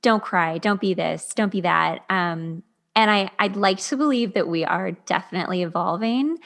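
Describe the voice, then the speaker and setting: A woman's voice: on the low side, normal speed, gravelly, fairly bright tone. A woman hosting a podcast in casual conversation, agreeable and informal, qualifying her points as she goes. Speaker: podcast host. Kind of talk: casual conversational interview talk.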